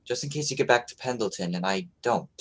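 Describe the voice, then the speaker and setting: A man acting in a radio play as an earnest, determined young sea captain, speaking a little haltingly. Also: lowish voice, expressive, a bit bright. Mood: earnest, worried.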